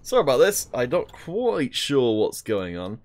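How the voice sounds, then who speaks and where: A man's voice: medium pitch, huge pitch swings, unhurried, a little mellow. A man casting a game stream, apologizing to viewers and rambling through chatty, self-deprecating remarks. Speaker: esports caster. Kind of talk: casual stream commentary.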